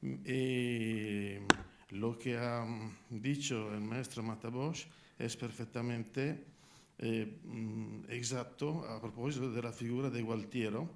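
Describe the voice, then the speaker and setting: A man from Italy speaking Spanish with an Italian accent, asking a halting question at a press conference as a journalist. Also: medium pitch, steady tone, darkish tone, slight background noise. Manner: halting, searching for words, clears throat.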